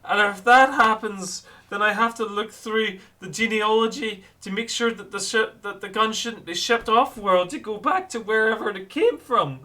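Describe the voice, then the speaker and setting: A man's voice: sits high, moderate pace, hardly any pauses, bright, forceful tone. A man voicing a harried male bureaucrat, rambling and tangled in rules and forms, over an online voice chat mic. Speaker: player.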